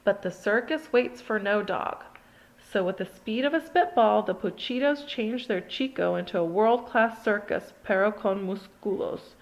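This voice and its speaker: A woman reading a children's picture book aloud in an expressive, sing-song read-along style. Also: mid-range voice, a little slow, dull audio. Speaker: storybook reader.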